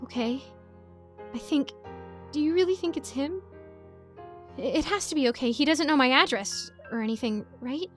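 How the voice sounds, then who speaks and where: Woman as young woman, anxious, halting, raised pitch, horror story dialogue, faint music. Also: close to an everyday voice. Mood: frightened, pleading. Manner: halting, pleading. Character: anxious lovestruck young woman texting her boyfriend.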